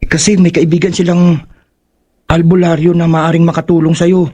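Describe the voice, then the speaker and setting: A man doing young boy Boyet, high and anxious. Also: flat delivery, moderate pace, warm tone, breathy edge. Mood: pleading.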